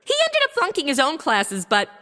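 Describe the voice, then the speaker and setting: A woman's voice: high voice, wildly swooping pitch, normal speed, bright, forceful tone, long reverb tail, heavily acted. An actress playing a vain, crude young woman with a bratty, slangy manner in a comedy audio drama. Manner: bratty, valley-girl slang.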